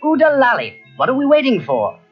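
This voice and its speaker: A man's voice: extremely high pitch, huge pitch swings, a little fast, exaggerated voice. A man playing a cheerful, confident outlaw hero in a children's storybook, with a friendly manner. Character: cheerful, daring young male outlaw hero. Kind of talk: children's cartoon storybook acting. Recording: dull cassette, faint music behind.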